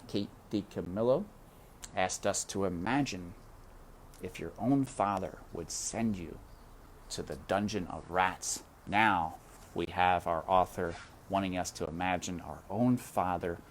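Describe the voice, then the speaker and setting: Slow, expressive man reading aloud. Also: low-pitched, slight background noise. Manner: dramatic, addresses listener directly, slow and deliberate. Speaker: reader. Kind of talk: reading a children's novel aloud.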